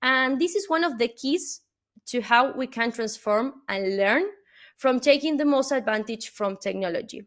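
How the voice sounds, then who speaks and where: A woman's voice: a little high, normal speed. A woman from Argentina, a native Spanish speaker talking in English with an Argentine accent, giving an earnest webinar keynote full of "uh" fillers and questioning "no?" tags.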